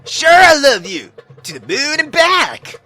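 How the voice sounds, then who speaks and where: A man's voice: extremely high pitch, wildly swooping pitch, slow pace, pushed and bright, faint music behind, pitched above the performer's own voice, heavily acted. A man voicing a brash, cheerful young suitor who pleads with emphatic exclamations, in a visual novel read-aloud. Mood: elated.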